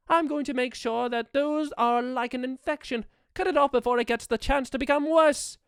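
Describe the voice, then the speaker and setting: A woman plays a doting owl demon prince with a distinctly high voice, recorded close and dry. He speaks in florid, regal phrases, theatrical yet affectionate, as a comforting character in an audio roleplay. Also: clean tone, no room sound, lightly acted.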